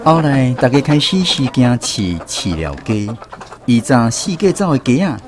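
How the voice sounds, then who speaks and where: A man's voice: mid-range voice, sing-song, unhurried, noisy background. A man with a Taiwanese accent narrating a scripted first-person story as a radio drama storyteller.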